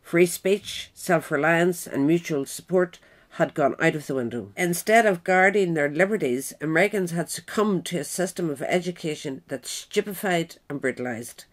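A woman narrating a written biographical essay in a measured, formal way. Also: fairly low pitch. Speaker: narrator, reader.

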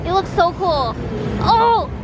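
Very high-pitched giggly young woman vlogging. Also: lively intonation, slow pace, crowd noise, noisy background. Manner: giggly, easily amazed. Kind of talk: casual excited vlog commentary. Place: large indoor expo hall. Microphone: handheld camera microphone. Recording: loud busy convention hall, music behind.

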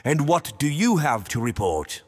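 An actor playing a smug, ruthless world dictator in an audio drama, mock-polite and theatrical. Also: male voice, medium pitch, sing-song, moderate pace, slightly rough. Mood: exasperated, sarcastic. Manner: mock-polite, theatrical, switching from exasperated to coldly quiet. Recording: long reverberant hall.

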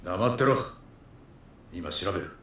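World-weary partner, rough and blunt, low pitch, terse phrasing, echo, video game cinematic. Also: male voice, lively intonation, a little slow, slight background noise, heavily acted. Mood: irritated. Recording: echoing voice under loud music.

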